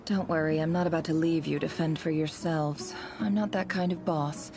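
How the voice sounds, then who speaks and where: Haughty, low-voiced lady boss. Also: steady tone, moderate pace. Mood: dry, imperious. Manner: self-satisfied, imperious, drawling with sighs. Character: haughty, theatrical, sardonic lady boss with dry wit. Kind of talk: video game voice acting.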